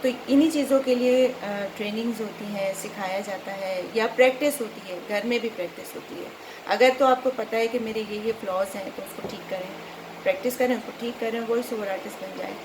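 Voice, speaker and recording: A woman's voice: mid-range voice, brisk. A middle-aged Pakistani woman chatting casually and instructively to viewers as a voice-over trainer, mixing in English words. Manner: conversational, instructive, code-mixes English words. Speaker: voice-over artist and trainer, host. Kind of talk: casual explanatory chat to viewers. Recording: clearly noisy background.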